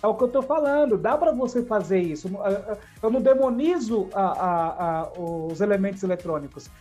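A woman's voice: medium pitch, expressive, moderate pace, dark tone, breathy edge, dull audio, faint music behind. A Brazilian woman in her thirties hosting a casual interview, effusive and often repeating words as she searches for them. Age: 30s. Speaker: podcast host.

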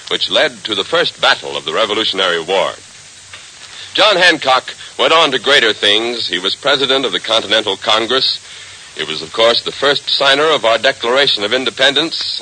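A man's voice: mid-range voice, bright, forceful tone, rough recording. An American man announcing and narrating an old radio serial in a dramatic, declamatory style. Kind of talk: 1940s radio scripted narration. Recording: hissy old radio recording.

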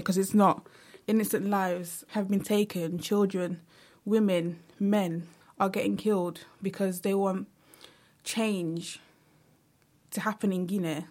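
A woman's voice: medium pitch, darkish tone. A young woman speaking earnestly in a casual vlog monologue, with frequent fillers like "like" and "you know". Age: young adult. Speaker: vlogger.

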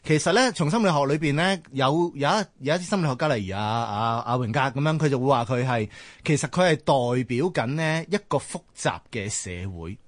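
A man's voice: mid-range voice, lively intonation, a little fast, dry recording. A man with a Hong Kong Cantonese accent talks through ideas as a discussion panelist. His intonation swings widely as he explains and checks that he is followed. The sound is close and dry. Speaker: talk show panelist.

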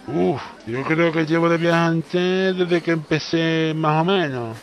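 A man's voice: a little high, measured pace. A man playing a boastful, absent-minded travelling salesman with an accent from Spain, exclamatory and know-it-all, in a comic radio play. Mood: jovial, muddled. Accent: Spanish (Spain).

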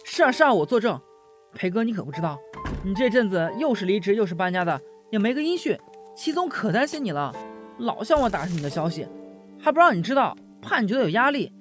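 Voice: medium pitch, very animated, fast talker, soft background music, lightly acted. A performer playing a bubbly, eager young friend who gushes and chatters in an audio drama. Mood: bubbly, excited. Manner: gushing, chattering. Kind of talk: Chinese audio drama acting.

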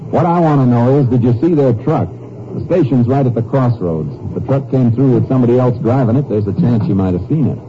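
An actor playing a steady, businesslike Texas Ranger in a 1950s radio drama, terse and matter-of-fact as he talks and narrates. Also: medium pitch, quick pace, a little hiss or hum, lightly acted. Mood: businesslike, focused. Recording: dull old radio recording with music.

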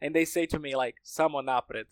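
Brazilian-accented man, native Portuguese speaker, slightly high pitch, dry recording, informal storyteller. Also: a little fast, no room sound. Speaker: podcast speaker, language learner. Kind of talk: casual conversation.

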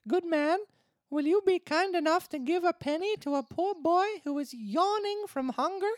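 A young marionette boy from a storybook, acted out by a woman, pleading in a whiny voice. The pitch sits very high and the sound is dry and close. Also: normal speed, dry recording. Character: Pinocchio, plaintive young boy marionette begging.

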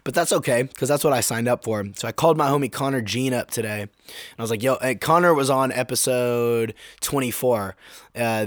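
A young man with a sore throat, slightly hoarse, hosting his own podcast in a laid-back, slangy, rambling way. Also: mid-range voice, no echo, clean studio sound. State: sore throat, getting over being sick. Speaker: podcast host. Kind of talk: casual solo podcast talk.

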